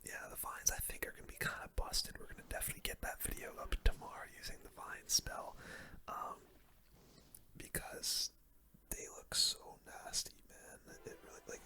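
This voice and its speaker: A man whispering casual, slangy gaming commentary in a breathy ASMR style, close to the microphone. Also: very high voice, bright, forceful tone. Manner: casual, slangy gamer talk. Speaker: gaming video host.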